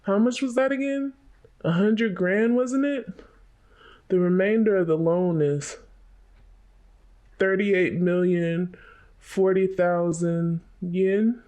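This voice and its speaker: A man playing a destitute, resigned teenage devil hunter in a fan-made motion comic, with a flat, weary, blunt delivery. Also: high-pitched, takes it slowly, pauses often. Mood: deadpan tallying.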